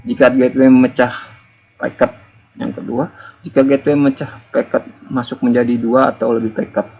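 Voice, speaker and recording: A man presenting an article review, partly reading aloud, in a halting, explanatory way with informal asides. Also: lowish voice, darkish tone, breathy edge, highs cut off.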